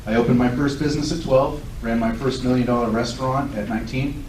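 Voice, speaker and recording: A man's voice: mid-range voice, fairly even intonation, fast talker, a little mellow. A man in his forties from Southern California, speaking as a candidate at a public forum in an earnest, self-assured way. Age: 40s. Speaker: political candidate. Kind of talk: candidate forum speech and answers. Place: large echoey hall at a public event. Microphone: recorded from a distance by a tablet. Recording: echoey hall, distant mic, faint noise.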